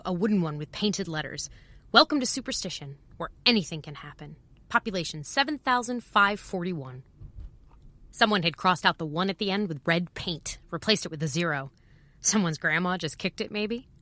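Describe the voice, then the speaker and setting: Bright, slightly low woman's voice, wry, world-weary, reflective journal narration, clipped fragments, audio drama. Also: no echo. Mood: wry, amused.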